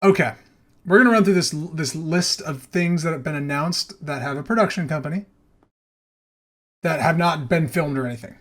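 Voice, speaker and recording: A man's voice: fairly high pitch, moderate pace, fairly bright tone. A man hosting a casual podcast, talking in a slangy, opinionated way with some swearing. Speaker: podcast host.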